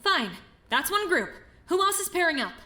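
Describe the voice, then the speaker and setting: A woman playing a brisk, bossy, impatient young student leader in an animated mystery, speaking in curt, commanding phrases. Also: very high voice, exaggerated voice. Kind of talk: dramatic animated mystery voice acting.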